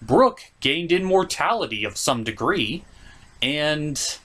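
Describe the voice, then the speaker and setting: A man's voice: on the high side, big pitch swings, takes it slowly, fairly bright tone. A man delivering scripted review commentary with joking asides and an emphatic, mock-exasperated manner. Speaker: reviewer hosting an anime review show.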